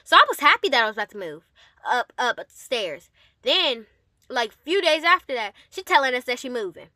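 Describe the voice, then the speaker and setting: A woman telling a personal story casually to her audience, with an animated, emphatic and sassy manner. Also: sits high, normal speed, dry recording, clean studio sound. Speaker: storyteller and host addressing an audience.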